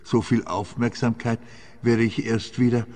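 An actor with a Swiss accent playing a weary, melancholy man on the run in a radio play, husky, halting and reflective. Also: medium pitch, little pitch movement, darkish tone, natural voice. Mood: bitterly amused. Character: weary, melancholy, brooding male fugitive client. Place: scene set in echoing church. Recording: long church-like reverb.

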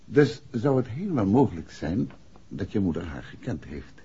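An actor playing a calm, probing gentleman detective in a 1960s Dutch radio drama. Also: fairly low pitch, normal speed, husky, heavily acted. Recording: dull 1960s radio recording.

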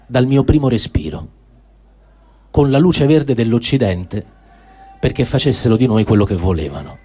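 A man reads a first-person diary in speech, in measured, deliberate phrases, as a dramatic radio reading. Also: mid-range voice, dark tone, slightly rough. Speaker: reader of written testimony on radio.

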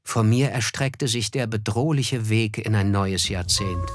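A man narrating a radio play in a measured, reflective way. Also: on the low side. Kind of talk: scripted literary narration.

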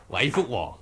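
An actor playing a sly, impish martial-arts trickster in a Cantonese radio drama, nonchalant and chuckling. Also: male voice, mid-range voice, gravelly, background noise, heavily acted.

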